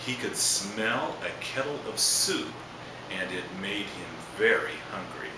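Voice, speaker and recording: A man's voice: on the low side, lively intonation, takes it slowly. A man reading a children's storybook aloud as a storyteller, adding asides. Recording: echoey, distant, noisy room recording.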